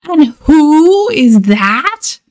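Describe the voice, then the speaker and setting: A woman's voice: high voice, sing-song, very slow, voice pushed up, heavily acted. A woman voicing a jealous, anxious male porcupine from a children's storybook, dramatic, exclamatory and fretful. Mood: indignant. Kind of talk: children's storybook read-aloud.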